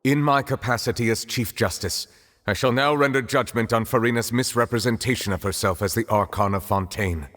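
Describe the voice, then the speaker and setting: An actor playing a grave, formal chief justice in a video game, solemn and measured, his voice ringing in a large hall. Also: medium pitch, fairly bright tone, lightly acted. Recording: long hall reverb, faint music.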